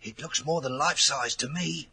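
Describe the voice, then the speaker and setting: A jittery butler who dreads evil spirits, in a vintage radio play. His voice is rather high and extremely husky, with a sharp edge. He speaks with dramatic dread. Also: moderate pace, exaggerated voice. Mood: frightened. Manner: fearful, dramatic. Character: superstitious, frightened butler, nervous and fearful of spirits. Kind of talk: 1940s radio acting. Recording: old 1940s radio recording.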